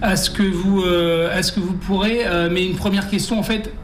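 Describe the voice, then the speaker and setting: A man hosting a conversational interview, putting halting questions with repeated words, recorded in a roomy space with background noise. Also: high-pitched, steady tone. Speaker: interviewer, host. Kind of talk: conversational radio-style interview.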